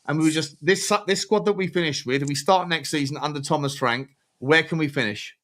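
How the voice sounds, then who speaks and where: Fast-talking man with a high voice. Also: a bit bright. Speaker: host of a football talk show. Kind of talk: casual chat, questioning callers.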